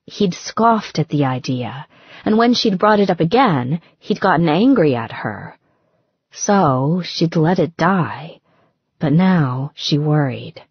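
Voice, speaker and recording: A woman reading romance fiction as an audiobook narrator, with expressive, dramatic phrasing. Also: deep voice, takes it slowly, slightly rough, dry recording, lo-fi sound.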